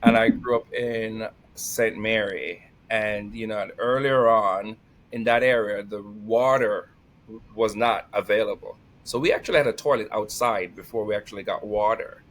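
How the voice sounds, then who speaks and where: A man with a Jamaican accent, a guest telling lively stories in conversation and laughing often. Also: lowish voice, normal speed. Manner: energetic, animated, laughs often. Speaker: podcast guest. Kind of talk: storytelling conversation.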